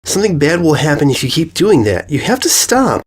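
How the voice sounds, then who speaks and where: A timid little filly from a cartoon-pony storybook, as a woman plays her. The voice is high and very changeable in pitch, earnest and anxious, with a hesitant stammer. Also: very animated, brisk, breathy edge, heavily acted. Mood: timid, pleading.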